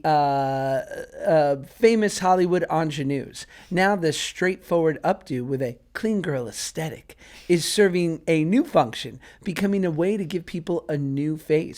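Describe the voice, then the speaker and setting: A man's voice: on the high side, a little mellow. A man co-hosting a podcast, chatting with stumbling restarts and reading out stories with jokes. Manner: joking, halting with restarts in chat. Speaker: podcast co-host. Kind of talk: casual chat and reading out stories.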